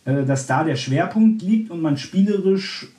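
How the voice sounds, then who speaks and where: A man's voice: sits high, expressive, measured pace, mellow, roomy sound. Chatty Berlin-born man hosting a football podcast. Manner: chatty, hesitant, many restarts and fillers. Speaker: podcast host. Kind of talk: casual football podcast chat.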